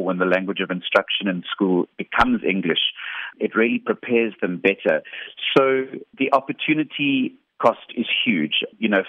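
A man's voice: on the low side, dry recording. A man with a South African accent, explaining earnestly and conversationally in a radio interview. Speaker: interviewee, reading campaign spokesperson. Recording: narrow-band, tinny phone-like line.

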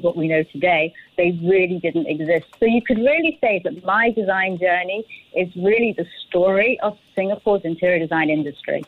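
A woman's voice: lowish voice, no room sound, phone-quality sound. A woman from the UK, a guest designer on a radio interview, speaking frankly and articulately with a self-deprecating touch. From United Kingdom.

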